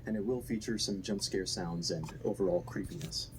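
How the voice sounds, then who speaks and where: Male announcer, lowish voice, scripted warning, noisy background. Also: normal speed. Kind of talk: scripted disclaimer.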